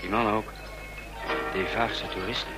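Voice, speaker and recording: An actor playing a suspicious, watchful secret agent in a radio play, making terse observations. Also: male voice, on the low side, steady tone, unhurried, loud music behind the voice, natural voice, rough recording.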